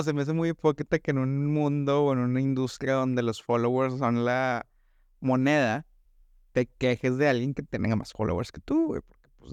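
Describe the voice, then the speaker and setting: Casting: young Monterrey man, podcast co-host, smooth clear voice, rambling and slangy, dry close mic. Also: mid-range voice, dry recording, muffled recording. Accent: northern Mexican (Monterrey). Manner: slangy, rambling, says "güey" constantly. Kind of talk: casual chat.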